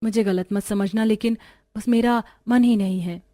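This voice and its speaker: A woman's voice: medium pitch, fairly even intonation, moderate pace, mellow, dry recording, clean studio sound, natural voice. A woman voicing a humble, grateful young daughter-in-law in a family cartoon, earnest and deferential. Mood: meek.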